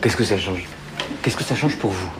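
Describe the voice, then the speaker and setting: A man's voice: deep voice, normal speed, background noise, natural voice. An actor playing a bitter man who calls himself a failure and lashes out at his old friends. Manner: bitter, self-lacerating confrontation. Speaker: character in a feature film. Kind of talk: film acting.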